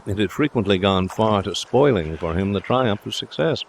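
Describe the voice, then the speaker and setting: A man's voice: fairly low pitch, lively intonation, normal speed. A man reading literary prose as a scripted audiobook narrator. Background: ambient sound effects.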